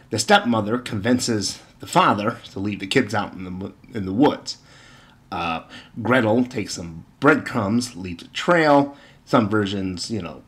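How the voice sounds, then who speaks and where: A man's voice: fairly low pitch, a bit bright. A man giving informal opinion commentary and retelling stories, with exasperated, sarcastic emphasis and rhetorical questions. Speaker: video commentator.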